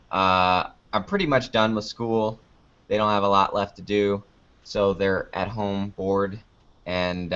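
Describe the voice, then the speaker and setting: A man's voice: lowish voice, fairly bright tone. A man chatting casually as an interview guest, rambling a little as he thinks aloud. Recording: dull lo-fi online call audio.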